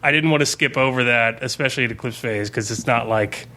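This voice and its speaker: Guy game master just chatting, bright voice, super informal, says like a lot. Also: mid-range voice, quick pace. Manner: informal, peppered with "like" and swearing. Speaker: game master on a gaming podcast. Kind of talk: casual chat, recounting a game campaign.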